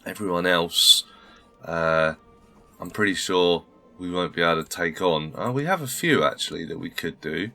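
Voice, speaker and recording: A low-voiced man talks through a strategy game in a courteous, rambling way, slightly under the weather. His tone is bright and forceful. Soft music plays underneath. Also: moderate pace. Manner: polite, formal greetings, thinking aloud. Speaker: gaming channel host. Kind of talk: casual let's-play commentary.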